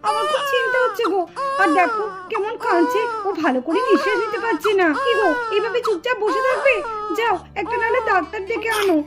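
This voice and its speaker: A woman's voice: extremely high pitch, fast. A woman voicing a worried young mother doll in a puppet drama, fretful and soothing.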